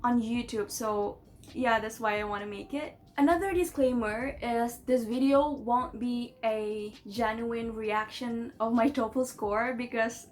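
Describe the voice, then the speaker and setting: A woman's voice: fairly high pitch, measured pace, a little mellow. Chatty Indonesian-accented young woman. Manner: chatty, giggly, many fillers like "like" and "you know". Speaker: vlogger. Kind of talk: casual chat to camera.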